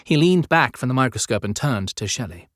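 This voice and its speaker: A man narrating an audiobook story in a measured, descriptive storytelling style. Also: medium pitch, expressive, fairly bright tone, no echo, studio quality. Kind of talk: scripted dramatic storytelling narration.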